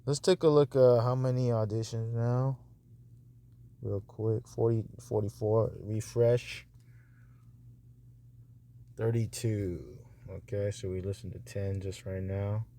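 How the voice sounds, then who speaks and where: A man talking casually and off the cuff as he reviews narrator auditions, halting and repetitive, with a reassuring manner. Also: on the low side, level tone, warm tone, slight background noise.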